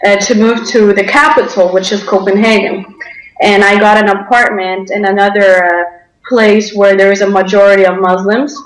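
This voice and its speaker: A woman's voice: mid-range voice, reverberant, low-quality recording. A young woman from Denmark giving a personal testimony in an interview, hesitant with many fillers and restarts. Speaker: interview guest.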